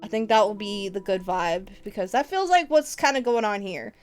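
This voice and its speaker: A woman's voice: medium pitch, normal speed, faint music behind. A woman livestreamer chatting casually with her viewers, teasing them playfully and laughing often. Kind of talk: casual stream chat with viewers.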